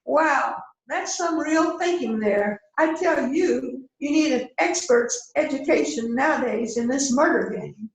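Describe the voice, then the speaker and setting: High-voiced, glib female murder suspect, acted by a woman. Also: a little slow, few pauses. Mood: baffled, rueful. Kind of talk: amateur readers theater mystery play. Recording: echoey room sound.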